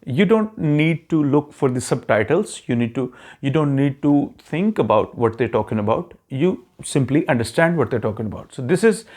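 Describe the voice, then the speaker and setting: A man's voice: mid-range voice, lively intonation, normal speed, few pauses, a little mellow. Man explaining, peppered with "okay?". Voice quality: non-native English speaker, self-described neutral accent. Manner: frequent "okay?" check-ins, fillers and restarts. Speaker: YouTube channel host giving immigration advice. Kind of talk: casual explanatory talk to viewers.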